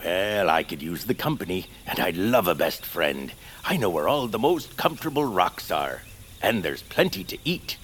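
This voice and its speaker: An actor playing an eccentric, socially awkward bearded hermit creature in a family audio drama, rambling and friendly. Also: male voice, low voice, very animated, normal speed, fairly bright tone, slight background noise. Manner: rambling, muttering, quirky. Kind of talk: family audio fiction character acting.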